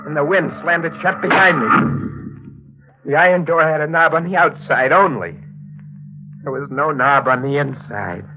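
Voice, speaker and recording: A man's voice: fairly high pitch, normal speed, long pauses, close to an everyday voice. Actor as doomed man in thin old radio sound. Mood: haunted, urgent. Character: doomed treasure-hunting man trapped in a tomb, weakening. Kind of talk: 1940s radio drama first-person narration. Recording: tinny, band-limited 1940s radio, music behind.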